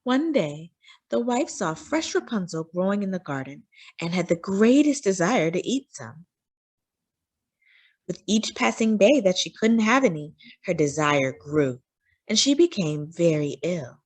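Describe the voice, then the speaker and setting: Children's storyteller, female, animated pitch, dramatic emphasis, narrating fairy tales to young listeners. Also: unhurried. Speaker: children's read-aloud storyteller.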